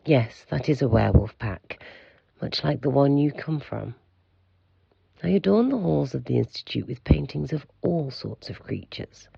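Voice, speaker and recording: A man playing an aloof, pompous keeper of an institute for monsters in an audio drama, speaking in a formal, measured, curt way with a gravelly, husky voice. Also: mid-range voice, expressive, mellow, no room sound, dull audio, full character voice. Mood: brisk, dismissive.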